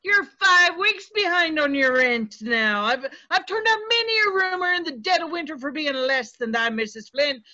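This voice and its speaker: A crotchety middle-aged landlady played by a woman from a stage play, high and piercing. She scolds in folksy, old-fashioned dialect, menacing and coy by turns. The sound is muffled, as over a video call. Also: animated, normal speed, few pauses, bright, forceful tone. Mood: stern, scolding. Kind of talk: stage play table read. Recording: dull video-call audio.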